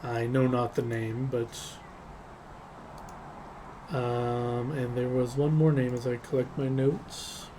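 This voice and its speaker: Man playing a formal, measured freelance spy. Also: mid-range voice, little pitch movement, noisy background, natural voice. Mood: formal, reporting.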